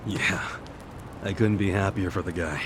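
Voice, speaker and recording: A man's voice: sits low, steady tone, measured pace, noisy background. A man acting a blunt, slangy, earnest ex-yakuza in a video game cutscene. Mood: easygoing, sincere. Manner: blunt, slangy, street-tough. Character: rough, earnest ex-yakuza man, hot-headed interrogator. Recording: music behind the voice.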